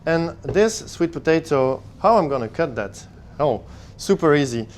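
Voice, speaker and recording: A man working as head chef, demonstrating a recipe in a kitchen and calmly explaining each step. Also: a little high, moderate pace, a little hiss or hum. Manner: relaxed, explains steps simply. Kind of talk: cooking demonstration.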